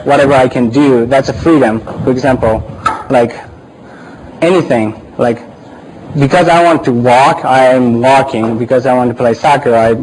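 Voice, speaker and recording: Slow, halting man with a Japanese accent. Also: mid-range voice, a little mellow, a little hiss or hum, poor audio. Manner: hesitant, searching for words. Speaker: church speaker. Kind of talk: informal church talk.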